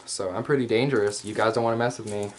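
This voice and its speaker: A man's voice: lowish voice, steady tone, natural voice. Man playing a chatty hyena commander, noisy recording. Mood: casual, boastful. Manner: casual, rambling, with slangy asides and hesitant pauses. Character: male hyena furry commander, chatty, playfully cocky raider. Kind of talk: improvised ASMR roleplay to the listener. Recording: clear background noise.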